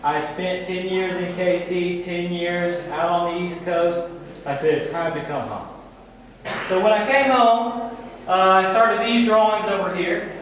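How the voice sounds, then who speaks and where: Echoey, high-pitched American man giving a talk. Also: fairly bright tone. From Missouri, United States. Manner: deliberate, dramatic repetition and short phrases. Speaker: artist and teacher giving a talk. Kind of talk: storytelling artist talk to gallery visitors. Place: indoor gallery, recorded from a distance. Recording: very echoey gallery hall, distant mic, noisy.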